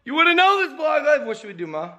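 Young american dude vlogger, high voice, slangy and excitable, music behind him. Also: male voice, fast talker. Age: early 20s. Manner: animated, exclamatory, slangy. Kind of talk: casual vlog chat. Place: indoors, apartment and gym. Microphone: handheld camera at arm's length.

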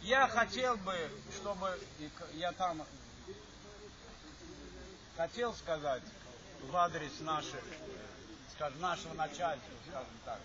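A man's voice: on the high side, takes it slowly, long pauses. An older man from Azerbaijan giving a rambling, repetitive speech at an alumni reunion in an assembly hall. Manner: rambling, repeats himself, stops to restart. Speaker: alumni speaker. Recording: dull lo-fi recording with chatter from the audience.

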